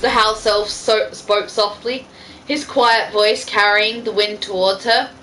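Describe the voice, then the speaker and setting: A woman's voice: medium pitch, steady tone, a little slow, a bit bright, slight background noise, rough recording. A woman reading fan fiction aloud in an amateur style, breaking off for casual banter. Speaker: reader.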